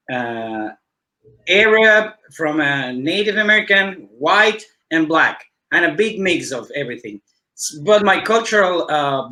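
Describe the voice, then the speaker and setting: Male guest, a touch high and bright, earnest and halting, heard on a video call. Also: poor audio. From Ecuador, raised in Cuba. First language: Spanish. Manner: earnest, halting with many fillers. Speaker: guest musician. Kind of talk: conversational interview answer.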